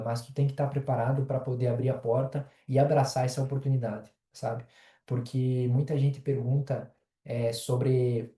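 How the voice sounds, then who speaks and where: A man's voice: mid-range voice, dark tone. A Brazilian man speaking conversationally as an interview guest, recorded in an echoey room at some distance from the mic. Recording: roomy echo, distant from mic.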